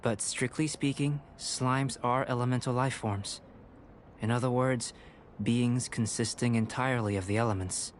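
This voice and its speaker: A man voicing a calm, cerebral young alchemist scholar in a video game, measured, formal and coolly detached. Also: medium pitch, slight background noise, lightly acted. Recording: music behind the voice.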